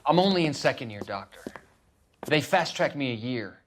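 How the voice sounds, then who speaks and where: A man's voice: medium pitch, animated, normal speed, slight background noise, close to an everyday voice. A man playing an earnest young veteran and medical student in a game, polite and deferential. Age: young adult.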